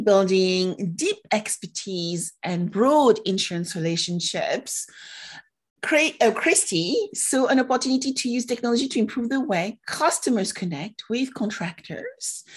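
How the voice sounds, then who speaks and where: A woman's voice: medium pitch, husky. A woman hosting an interview podcast, giving prepared introductions and putting questions to her guest.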